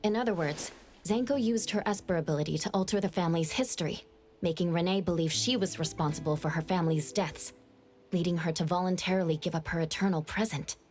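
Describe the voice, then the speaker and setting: A woman's voice: lowish voice, quick pace, darkish tone, lightly acted. A woman voicing an earnest, thoughtful young investigator in an anime-style game. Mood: pensive, concerned. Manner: earnest, reasoning aloud. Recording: faint music behind the voice.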